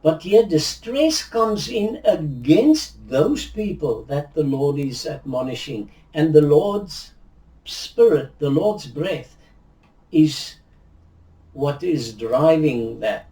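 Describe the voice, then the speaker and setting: Slow, mellow older man. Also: fairly high pitch, sing-song, recorded from a distance. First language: Afrikaans. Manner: measured, reflective, with frequent restarts and hand gestures. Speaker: Bible teacher. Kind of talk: unhurried video teaching. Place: indoors, in front of a virtual backdrop. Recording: roomy echo, voice heard from afar.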